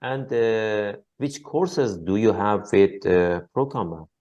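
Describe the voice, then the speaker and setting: A man's voice: on the low side, lively intonation, takes it slowly, a little mellow, muffled recording. A man whose first language is Persian, hosting an interview with short questions and brief agreeing replies. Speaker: interview host. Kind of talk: casual interview.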